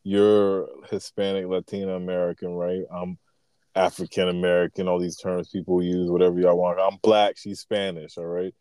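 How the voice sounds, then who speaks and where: A man with a deep voice talking as a podcast guest, a sarcastic jokester with a laid-back, slangy way of speaking. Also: flat delivery, normal speed, dark tone, clean tone, no echo. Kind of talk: casual conversation.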